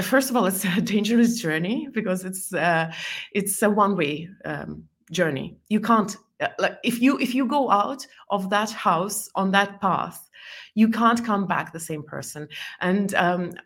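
A woman from Estonia, a native Estonian and Russian speaker with a mixed accent, answering interview questions in a reflective way that often breaks into laughter. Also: on the low side. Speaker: guest.

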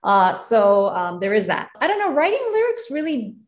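A woman, a jazz singer, talking thoughtfully in a casual interview, pausing often to find her words. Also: fairly high pitch, big pitch swings. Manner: thoughtful, many fillers and restarts. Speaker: jazz vocalist guest.

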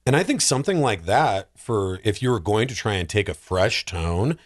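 A man chatting casually as a guest film reviewer on a podcast, animated and slangy in his delivery. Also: lowish voice, normal speed, no echo, studio quality.

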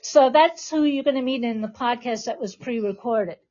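High-voiced woman podcast host, lo-fi sound. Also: normal speed, warm tone. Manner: encouraging, reassuring prompts. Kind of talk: live podcast hosting, addressing a class. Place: classroom with about twenty people.

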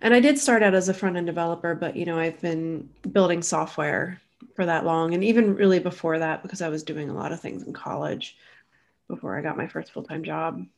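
A woman's voice: lowish voice, fairly even intonation, fairly quick, vocal fry, darkish tone. A woman in her thirties, a design educator, chatting as a podcast guest, a little nasal from allergies. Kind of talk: casual interview.

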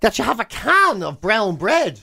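Animated Irish man, higher-pitched voice. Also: measured pace, creaky, slightly rough, no echo. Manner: incredulous, exaggerated emphasis, repeats phrases for effect. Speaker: radio show co-host. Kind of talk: comic banter, casual radio chat. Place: radio studio.

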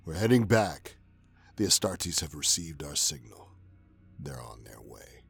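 An actor playing an ancient, weary male traitor soldier, persuasive and often hushed. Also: rumbling low, very animated, unhurried, gravelly, breathy edge, heavily acted. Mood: urgent. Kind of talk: audio drama acting. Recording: loud music underneath.

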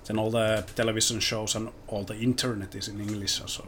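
A man's voice: lowish voice, flat delivery, brisk, a bit bright, slight background noise. A man from Finland with a Finnish accent, a podcast guest chatting casually and rambling with lots of fillers, with English as a second language. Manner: rambling, many fillers like "you know" and "like". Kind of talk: casual chat.

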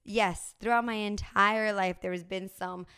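A woman's voice: mid-range voice, unhurried, clean tone, no room sound, studio quality. A woman in her thirties from New Jersey, chatting casually and telling personal stories with lots of fillers. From New Jersey, USA. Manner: animated storytelling with frequent fillers. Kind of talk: casual conversational chat.

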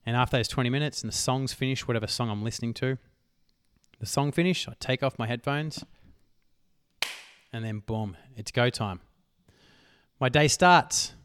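A man hosting a solo motivational podcast, talking emphatically and swearing casually, his voice worn hoarse. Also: medium pitch, normal speed, long pauses, a bit bright. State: losing his voice. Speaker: podcast host.

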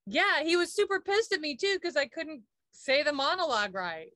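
Woman co-host, animated and exasperated, slightly high voice, dry close mic. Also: normal speed, no echo. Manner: animated, storytelling with exasperated asides. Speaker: podcast co-host. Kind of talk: casual chat.

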